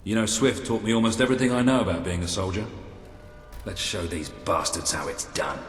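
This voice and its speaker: An actor with a British accent playing a cocky, irreverent, wisecracking soldier in a fantasy video game, sardonic in manner. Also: male voice, deep voice, a little fast, faint music behind, lightly acted. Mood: wry, determined. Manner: sardonic, animated. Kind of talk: dramatic game dialogue.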